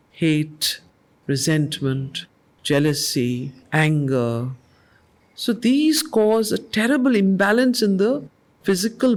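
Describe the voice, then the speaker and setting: A woman leading a study circle, reading verse slowly and then explaining it to the group in an earnest, questioning way. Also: medium pitch. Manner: slow, measured recitation that repeats lines of verse, then conversational explaining; earnest, asks the group questions and answers them herself. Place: weekly study group gathering.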